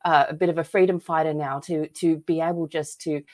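An Australian woman with a slightly low-pitched voice talks as a podcast guest. Her delivery is earnest and emphatic, in a conversational storytelling style. She speaks as someone sharing her own experience and convictions. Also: fairly even intonation, brisk, darkish tone. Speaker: podcast guest, author and filmmaker. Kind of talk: conversational interview, personal storytelling.

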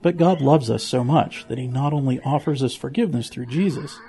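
An earnest farm pig played by a man who likes to admonish. The voice is mid-pitched with a creaky edge, acted for a children's audio drama. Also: brisk, warm tone, slight background noise, lightly acted. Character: earnest, preachy male pig with a fussy nervous streak.

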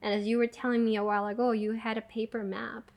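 A woman's voice: fairly high pitch, level tone, quick pace, gravelly, highs cut off. A woman hosting a mindfulness podcast who interviews her guest in a friendly, conversational way. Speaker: podcast host.

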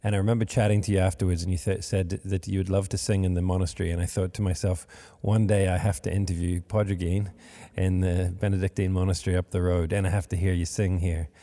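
A man hosting a podcast interview, speaking haltingly with many fillers, recorded in a monastery room. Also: low-pitched, level tone, dark tone, big-room sound. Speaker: podcast host.

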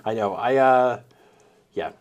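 A man's voice: mid-range voice, slow pace, muffled recording. Easygoing man in casual podcast banter. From New Hampshire. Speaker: podcast co-host. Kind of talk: casual chat.

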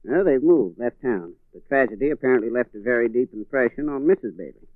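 Sober general practitioner for an old radio mystery, played by a man, medium pitch, formal, a touch hesitant, telephone-thin recording. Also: animated, brisk, no echo, close to an everyday voice. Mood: matter-of-fact. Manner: plain, formal, slightly hesitant. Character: matter-of-fact small-town general practitioner, mildly defensive. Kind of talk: 1940s radio acting. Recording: muffled 1940s radio recording, phone-like band.

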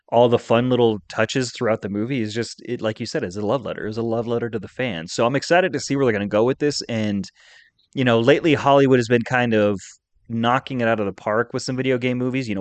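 A man's voice: mid-range voice, a little fast, no room sound. A man hosting a podcast in casual chat, giving emphatic, opinionated takes with plenty of enthusiasm. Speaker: podcast host.

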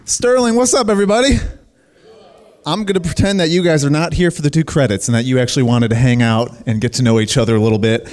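A man's voice: fairly high pitch, quick pace, darkish tone. An American man, a guest pastor, preaching conversationally to students in a casual, joking way with youthful slang. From United States, Illinois.

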